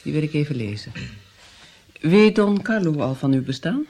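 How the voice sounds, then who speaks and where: A haughty princess in a radio play. She is brisk and commanding, with a very lively pitch range. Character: imperious, brisk pale noble princess. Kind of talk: radio drama.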